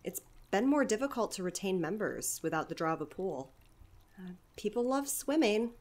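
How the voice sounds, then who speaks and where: A woman's voice: medium pitch, big pitch swings, moderate pace, a little mellow. A woman playing an evasive, chatty community center manager in a naturalistic audio drama, hesitant yet earnestly explanatory. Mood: hesitant, sheepish.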